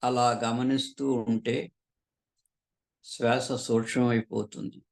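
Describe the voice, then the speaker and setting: A man leading a guided meditation as a teacher, calmly and unhurriedly, pausing often between short phrases. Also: medium pitch, vocal fry. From southern India.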